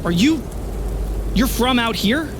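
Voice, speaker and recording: Man playing a curt, high-voiced wary soldier. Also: expressive, slow, background noise, exaggerated voice. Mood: wary, commanding. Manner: curt, questioning. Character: wary, commanding armed agent in wartime. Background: music and rain.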